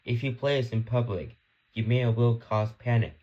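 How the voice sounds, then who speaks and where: A man reading a scripted emergency alert in a formal, measured announcer's manner. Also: medium pitch, lo-fi sound. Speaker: alert announcer and narrator of a fictional emergency broadcast.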